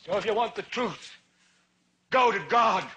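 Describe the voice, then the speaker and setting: An actor playing a furious, prophetic TV news anchor, ranting in oratorical, repeating cadences like a zealot in a film monologue. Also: male voice, high-pitched, measured pace, fairly bright tone. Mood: fiery preaching.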